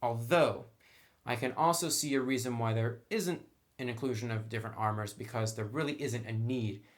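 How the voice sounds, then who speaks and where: Man, medium-pitched voice, casual reviewer, conversational, unscripted commentary. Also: moderate pace. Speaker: game book reviewer.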